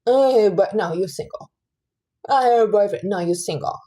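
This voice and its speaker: Mellow, husky woman in her late thirties. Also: mid-range voice, normal speed. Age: 30s. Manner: chatty and earnest, giving life advice to viewers. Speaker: livestream host. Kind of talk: casual livestream chat.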